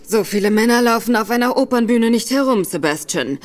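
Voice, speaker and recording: A woman voicing a sly, affable gentleman in disguise who probes with feigned forgetfulness, in a radio play. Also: a little high, expressive, a little fast, lightly acted. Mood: probing, sly. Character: smooth gentleman Virgil Barker, a man, sly and probing.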